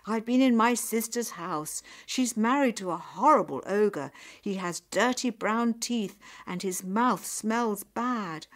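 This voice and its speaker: A woman's voice: a bass voice, big pitch swings, slow pace, vocal fry, hardly any pauses, fairly bright tone, voice pushed down, full character voice. A woman voicing a rude, selfish young woman in a folk tale, demanding and complaining. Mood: bitter, complaining.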